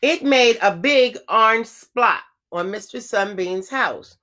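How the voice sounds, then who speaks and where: A woman teacher reading a children's storybook aloud, with expressive phrasing and emphasized words. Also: fairly high pitch, takes it slowly, a little hiss or hum.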